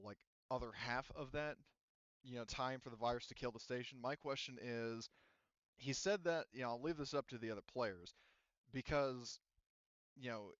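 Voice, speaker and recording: A man acts as game master for a tabletop roleplaying game. He explains things casually, often circling back to rephrase. His voice is clean and smooth, captured dry with a slightly muffled top end. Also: mid-range voice, dry recording. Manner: explanatory, halting, repeats and rephrases phrases. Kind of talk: casual, improvised game narration.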